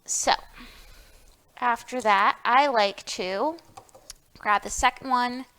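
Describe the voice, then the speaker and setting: A woman's voice: a little high, slow pace, sharp tone, smooth. A woman hosting a children's storytime, reading aloud and explaining things in an encouraging way, speaking directly to young listeners. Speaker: library storytime host.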